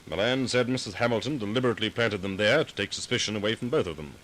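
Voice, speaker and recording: Male actor as confident detective hero, vintage radio drama, low register, bright projection, earnest. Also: fast talker, lightly acted. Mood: explaining. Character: brave, confident male amateur sleuth. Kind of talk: 1940s radio acting. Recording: faintly noisy vintage 1940s radio recording.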